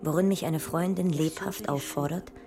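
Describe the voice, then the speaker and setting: A woman playing a principled, resolute nineteenth-century scholar and mother, reading her memoir in a formal, deliberate way. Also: sits low. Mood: composed. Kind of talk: dramatised reading of a memoir letter. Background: music.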